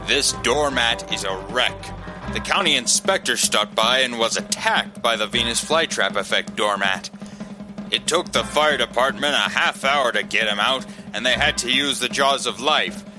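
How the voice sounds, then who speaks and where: An indignant, blustering business owner acted by a man in a radio comedy. He complains in a pompous, melodramatic way, with clear music playing underneath. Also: medium pitch, normal speed, lightly acted. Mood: indignant, ranting. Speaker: actor in a comedy radio play. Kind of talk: comedic radio theater acting.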